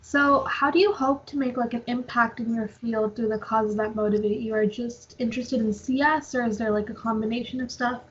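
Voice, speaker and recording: A woman's voice: fairly high pitch, fairly even intonation, a little fast, hardly any pauses, breathy edge, dull audio. A young woman conducting a casual interview in an informal, affirming way.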